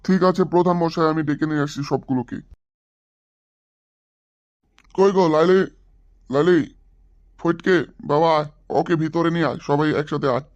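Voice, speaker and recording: A man's voice: a little high, steady tone, a little fast, lo-fi sound, lightly acted. A man voicing a jealous, quarrelsome old village husband, nagging and blustering in an exaggerated comic Bengali drama style. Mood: complaining.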